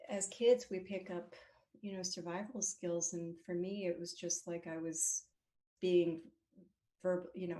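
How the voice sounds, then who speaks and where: A woman of about fifty talking as a guest, open and animated, quick to laugh. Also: fairly low pitch. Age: 50s. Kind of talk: casual conversation.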